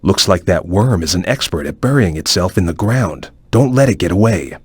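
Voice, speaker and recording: A man voicing a stern, watchful cartoon ninja guide in a video game, with a measured, formal, cautious way of advising. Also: sits low, expressive, darkish tone, breathy edge, no echo, studio quality. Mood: watchful, serious. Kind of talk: video game voice acting, short hint lines.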